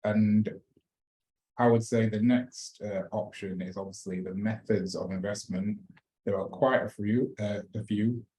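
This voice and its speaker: Relaxed low-voiced man. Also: a little slow, rough recording. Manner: relaxed, chatty with fillers and restarts. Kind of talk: informational talk.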